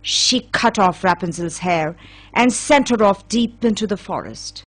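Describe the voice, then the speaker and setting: A woman's voice: mid-range voice, animated, a little slow, slight background noise. A woman narrating a children's fairy tale as a scripted storyteller.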